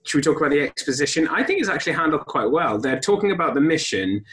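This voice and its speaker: A man co-hosting a casual film podcast, talking animatedly and jumping into high voices to act out scenes. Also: very animated, fast talker, fairly bright tone. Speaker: podcast co-host.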